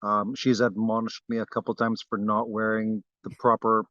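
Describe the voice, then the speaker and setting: Unhurried man from Barbados, dry and dull. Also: lowish voice, little pitch movement, a bit bright, no echo. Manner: unhurried, modest, with frequent fillers and restarts. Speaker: guest wood carver. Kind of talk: relaxed interview chat.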